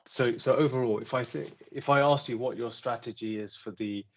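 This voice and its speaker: A man's voice: medium pitch, fairly quick, lo-fi sound. A man asking questions as an investor on a conference call, polite and hesitant, often rephrasing himself.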